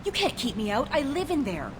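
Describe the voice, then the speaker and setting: Desperate angry lady from a game, high pitched, echoey, noisy background. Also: big pitch swings, fairly quick. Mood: indignant, desperate. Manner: pleading, combative. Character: indignant, desperate human woman locked out of home. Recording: echoey hall, clear background noise.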